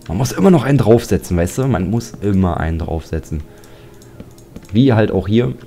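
A deep-voiced man chats casually as he plays, cracking jokes and grumbling at the monsters that attack him. His tone is dark and mellow, and soft game music plays behind him. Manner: chatty, joking, grumbles at mobs. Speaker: Let's Play commentator. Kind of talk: casual gameplay commentary.

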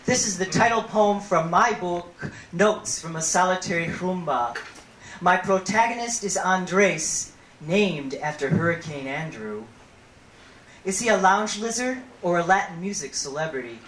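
A woman poet performing spoken word live, with a deliberate, theatrical delivery, on a handheld microphone in a small venue. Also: lowish voice, expressive, a bit bright, slight background noise. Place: small brick-walled performance venue, indoors. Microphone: handheld stage microphone through a PA. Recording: echoey amplified 1990s live camcorder recording.

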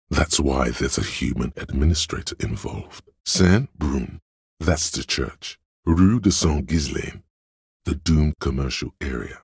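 An actor playing a detective's inner voice of logic in a video game, a grave, analytical man with a gravelly rasp and a deliberate, dry, detached delivery. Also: extremely low pitch, dry recording, exaggerated voice. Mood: cool, deducing.

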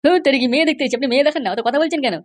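A man voicing a cheeky, nagging middle-aged father in a comic cartoon, brash and mocking, with a pitched-up voice. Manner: brash, mocking, fast-talking.

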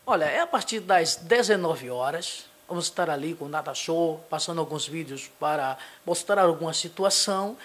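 A middle-aged man, a pastor from Brazil, giving a halting local TV interview full of fillers and restarts, speaking into a handheld mic in a small studio. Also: a little high, lively intonation, a bit bright. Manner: hesitant, many fillers and restarts, tag questions. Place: small studio in front of a logo backdrop.

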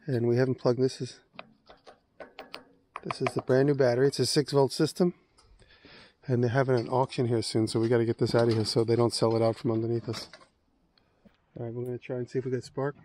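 Man, casual host, easygoing, mid-pitched voice, outdoor work chatter, faint noise behind. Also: little pitch movement, a little fast, long pauses, a little mellow. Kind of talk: casual chat while repairing a tractor. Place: outdoors in a snowy yard.

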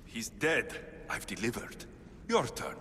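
A man's voice: mid-range voice, very animated, takes it slowly, pushed and bright, slight background noise, heavily acted. A man playing a tough, blunt ancient Greek mercenary warrior in a video game cutscene, defiant and matter-of-fact. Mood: curt. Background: music.

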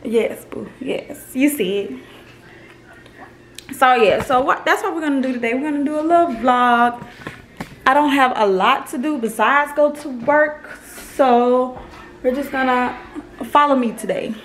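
A woman in her thirties hosting a casual vlog, a little hoarse from a cold, upbeat and playful as she talks to her kids. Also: high-pitched, a little slow, a bit bright, slight background noise. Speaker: vlog host. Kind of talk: casual chat to camera.

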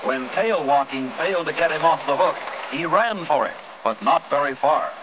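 A man's voice: on the high side, moderate pace, highs cut off, background noise. An American man reading scripted 1950s newsreel-style narration in a dramatic, folksy showman's manner, on an old film soundtrack. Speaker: documentary narrator. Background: loud background music.

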